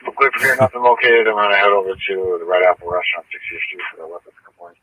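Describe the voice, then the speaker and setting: A man's voice: low voice, level tone, fast, breathy edge, no room sound. A male police officer giving terse radio traffic over a two-way radio. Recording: muffled, distant police radio feed.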